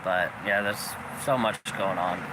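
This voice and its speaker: A young man hosting a channel, giving a rambling casual update with many fillers, his voice slightly raspy from coughing. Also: lowish voice, fairly even intonation, unhurried. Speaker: channel host. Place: outdoors, people walking by. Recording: noisy outdoor recording.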